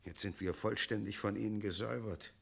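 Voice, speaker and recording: A resigned, self-satisfied father of the town, speaks in a radio play. His voice is on the low side, gravelly and husky, with a placid, explanatory manner. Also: steady tone, a little fast, fairly bright tone, full character voice. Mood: smugly defensive. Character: resigned, complacent townsman father defending the town's tyrant. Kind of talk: theatrical radio play acting.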